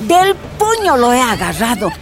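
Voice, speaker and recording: An actress narrating as a miner's wife recounting her torture in a radio drama, grave and measured. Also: fairly high pitch, sing-song, gravelly, full character voice. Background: soft music.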